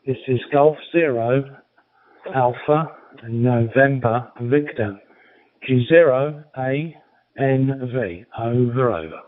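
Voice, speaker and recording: A middle-aged or older man chatting as an amateur radio operator, halting and understated, over a radio link. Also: medium pitch, no room sound. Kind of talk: casual radio chat. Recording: narrow-band, phone-like radio link.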